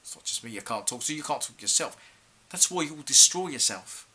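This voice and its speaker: A man acting as a stern head teacher in a stage monologue. His voice is middle-pitched and very sharp and forceful, with formal, clipped phrasing that accuses and demands answers in exasperation. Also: expressive, a little fast, breathy edge, full character voice. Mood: pleading, disappointed. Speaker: actor. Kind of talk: theatrical monologue for an acting showreel.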